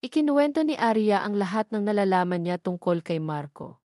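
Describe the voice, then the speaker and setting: A woman's voice: medium pitch, big pitch swings, a little fast, dark tone, dry recording, studio quality. A woman narrator reading a scripted, dramatic romance story.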